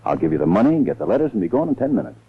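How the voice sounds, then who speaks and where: A man's voice: sits low, sing-song, very fast, husky, exaggerated voice. An actor playing an authoritative, scheming male lawyer in a 1940s radio drama, speaking firmly and insistently. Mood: cold insistence. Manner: firm, insistent, commanding. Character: scheming, authoritative lawyer urging and pressuring others. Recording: muffled, thin 1940s radio recording.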